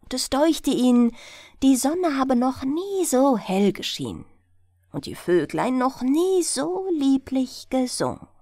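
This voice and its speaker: A woman narrates a fairy tale for bedtime in a gentle, soothing way. She takes her time, with a very melodic delivery, and the recording is close and dry. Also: high voice, takes it slowly, no room sound. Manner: gentle, soothing, expressive storytelling. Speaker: audiobook narrator.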